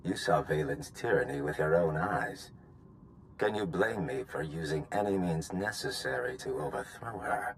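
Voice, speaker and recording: An actor playing a calculating, unrepentant rebel schemer, measured and self-justifying, in a video game cutscene. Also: male voice, rumbling low, expressive, a little hiss or hum. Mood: defensive, determined.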